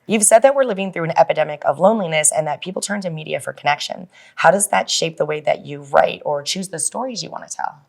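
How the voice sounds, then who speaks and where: A woman's voice: deep voice, fast, vocal fry, hardly any pauses. A woman hosting a casual interview, affirming what she hears and asking questions. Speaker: podcast host, interviewer.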